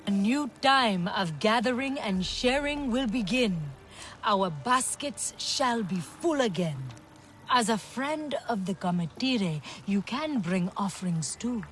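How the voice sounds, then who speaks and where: An actress playing a dignified, protective alien tribeswoman in a video game, with a measured, formal way of speaking. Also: on the high side, lively intonation, faint music behind. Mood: hopeful, welcoming.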